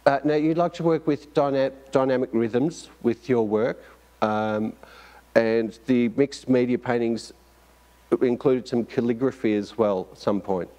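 A man's voice: mid-range voice, fairly even intonation, unhurried, dark tone. A middle-aged man hosting an arts interview show, asking questions with many fillers and restarts. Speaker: host. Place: studio with staircase.

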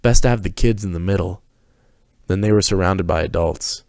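A man's voice: sits low, moderate pace, gravelly, darkish tone, dry recording, clean studio sound. A man reading scripted fiction aloud as the narrator of a dramatic story.

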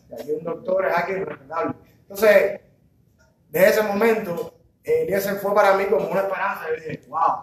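A young Cuban man with a Cuban Spanish accent, standing in a university lecture hall and giving an animated public comment, gesturing and restarting his sentences as he goes. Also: sits high. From Cuba. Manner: animated, gestures while speaking, restarts and fillers. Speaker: audience member. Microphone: far phone camera. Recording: very echoey hall, distant, noisy.

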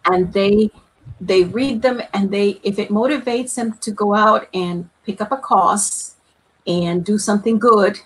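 A woman's voice: medium pitch, measured pace, husky, poor audio. A woman, an author and former librarian, answering a panel question over a video call in an earnest, thorough way with self-deprecating asides. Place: home room on a video call.

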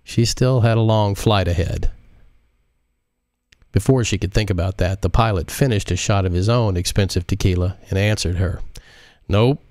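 A man reading his own novel aloud in a relaxed live audiobook stream, with chatty asides. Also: low voice, no room sound, studio quality.

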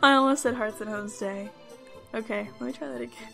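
A woman's voice: a little high, steady tone, a little fast, vocal fry, over music. A woman voice actress talking casually between takes. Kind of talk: casual outtake chat.

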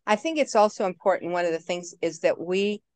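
A woman's voice: fairly low pitch, lively intonation, fairly quick, no echo. Woman over fifty, actress guest; brassy, blunt, playful delivery, dry sound. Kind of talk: video call interview. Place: home room.

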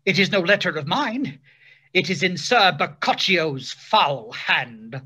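A woman's voice: on the low side, expressive, takes it slowly, sharp tone, husky, heavily acted. A woman playing a lovestruck, foolish male stage hero with overblown theatrical delivery in an old-style radio play. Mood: melodramatic. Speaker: actor. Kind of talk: 1930s radio acting.

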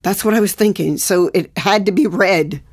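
A woman's voice: medium pitch, normal speed, lightly acted. A woman playing a shaken, angry pregnant wife with an American accent in a naturalistic audio drama, insistent and swearing. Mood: defensive, doubting. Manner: agitated, profane, insistent.

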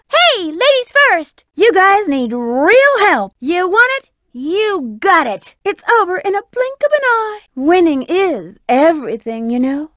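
A woman's voice: very high-pitched, dry recording, clean studio sound, full character voice. A woman voicing a sassy, flirty female bat treasure hunter in a video game, teasing and self-assured. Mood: smug, teasing. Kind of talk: video game character voice acting.

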